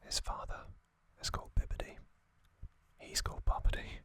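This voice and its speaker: An ASMR host whispering slowly, rambling through trivia with fillers and musings. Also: bright, forceful tone, no echo. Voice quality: unvoiced whisper.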